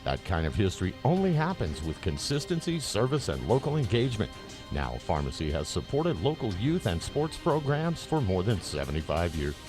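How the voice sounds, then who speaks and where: A man's voice: sits low, big pitch swings, normal speed. A man reading a scripted promotional advertisement as a voice-over. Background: music bed.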